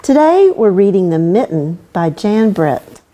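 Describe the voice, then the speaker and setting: Mellow, animated woman reading to kids. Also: mid-range voice, unhurried. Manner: expressive storytelling aimed at kids. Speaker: storytime host reading aloud. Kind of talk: children's picture book read-aloud.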